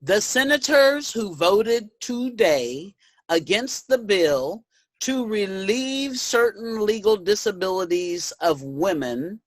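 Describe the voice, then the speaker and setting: A woman playing a stern newspaper editorial voice, reciting deliberately and emphatically in readers' theater. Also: mid-range voice, dry recording.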